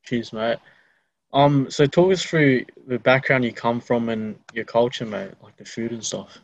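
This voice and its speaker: A man's voice: medium pitch, measured pace, gravelly, no room sound, rough recording. A young Australian man hosting a podcast interview in an informal, matey, chatty way. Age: teenager or young adult. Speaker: podcast host. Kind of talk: casual interview chat.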